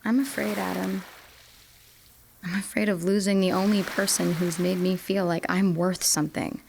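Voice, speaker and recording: A young woman acting an insecure, fearful student desperately in love, in an emotional, halting audio drama monologue. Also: lowish voice, level tone, gravelly, noisy background. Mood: fearful, hurt.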